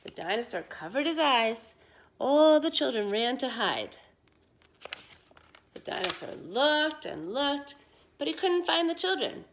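A middle-aged woman reading a children's picture book aloud with expressive, emphatic delivery at home. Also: sits high, measured pace, long pauses, slight background noise. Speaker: storyteller for children. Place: living room at home.

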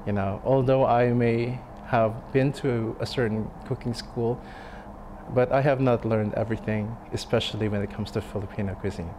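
A man in his thirties, a restaurant chef, speaking in a sit-down interview, thoughtful and halting with many fillers and restarts. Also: medium pitch, steady tone, darkish tone, a little hiss or hum, low-quality recording. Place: dim restaurant dining room. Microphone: lapel mic.

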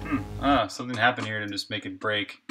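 A man's voice: on the low side, a little fast, over music. A man streaming his game development live, talking casually through his code and reacting to chat. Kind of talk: live coding stream, thinking aloud.

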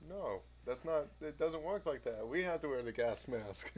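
A man's voice: a little high, expressive, normal speed, a little mellow, slight background noise. A man joking and teasing in casual banter.

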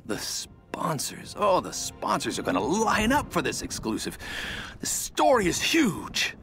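An actor playing a vain, scheming middle-aged television archaeologist in a video game journal, theatrical, halting and self-justifying. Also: male voice, sits high, huge pitch swings, over music, heavily acted. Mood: excited, greedy.

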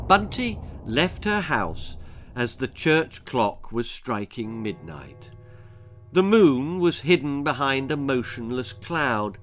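British male storyteller, sixties, theatrical, lively pitch swings, faint music underneath. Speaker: author reading his own story. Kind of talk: dramatic children's storytelling, read aloud. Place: small book-lined room indoors.

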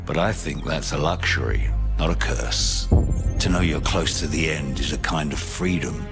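An actor playing a grim, world-weary British soldier, delivering a slow, brooding, solemn monologue in a gravelly voice. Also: male voice, extremely low pitch. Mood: grim resolve. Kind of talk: scripted dramatic monologue. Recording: loud music under the voice.

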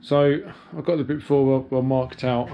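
A man's voice: mid-range voice, level tone, normal speed, dark tone. A man in his forties casually narrating his boat work to camera, thinking aloud with fillers, recorded on an action camera. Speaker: sailing vlogger. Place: on the water by a boat hull, then in a workshop. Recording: faint outdoor background noise.

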